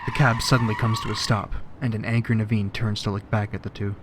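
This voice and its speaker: A man's voice: fairly low pitch, little pitch movement, quick pace. A man reading scripted narration for an audio story as its narrator. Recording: noisy backdrop of vehicle sounds.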